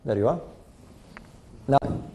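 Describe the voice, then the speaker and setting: An older man from Egypt with a mixed European-Egyptian accent, telling his family story to an audience in an unhurried, anecdotal way and chuckling now and then. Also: warm tone. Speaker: speaker giving a talk to an audience. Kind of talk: storytelling talk.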